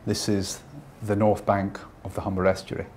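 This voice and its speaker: A man from Scotland who is a painter, talking thoughtfully and unhurriedly about his work, with pauses and fillers. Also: fairly low pitch, completely flat delivery, a little mellow, a little hiss or hum.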